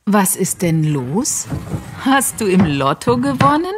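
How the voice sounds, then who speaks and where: Actress for a friendly young woman, animated, slow. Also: mid-range voice, noisy background. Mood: curious. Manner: slow, lively, curious. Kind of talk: scripted textbook dialogue.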